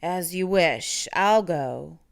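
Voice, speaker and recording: A woman voicing a mild, bookish male monster in a storybook, with a low, breathy voice and a polite, formal manner. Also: animated, slow, exaggerated voice. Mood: put out.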